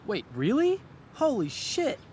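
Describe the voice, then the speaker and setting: A man's voice: extremely high pitch, huge pitch swings, measured pace, darkish tone, slight background noise, full character voice. A man playing an earnest, hopeful teenage boy, friendly and a little tentative, in a video game cutscene. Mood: astonished.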